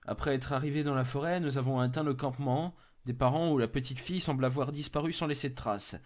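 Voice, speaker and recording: A man's voice: mid-range voice, steady tone, fast talker, lightly acted. A man playing an urgent, frightened member of a search party making radio reports in a horror drama. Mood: grave, reporting. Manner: urgent, whispering, breaking into screams and sobs. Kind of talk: dramatised horror story acting.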